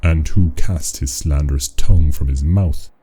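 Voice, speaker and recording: An actor playing a brooding, menacing schemer obsessed with a sea beast, speaking in ominous, archaic musings in a radio play. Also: male voice, very deep voice, normal speed, a little hiss or hum. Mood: cool, guarded. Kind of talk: theatrical radio drama acting. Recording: music playing loudly behind.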